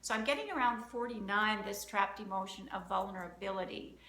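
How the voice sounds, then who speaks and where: A woman's voice: mid-range voice, a little fast, pushed and bright. A woman acting as a practitioner and host, walking through an energy-healing session aloud and counting through options in a chatty, upbeat way. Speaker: emotion code practitioner and host. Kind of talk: live energy-healing demonstration, talking through steps. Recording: roomy, slight background noise.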